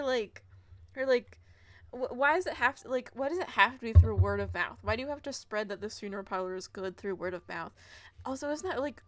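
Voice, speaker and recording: A woman game streamer chatting and commenting casually live, in a giggly, rambling way. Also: medium pitch.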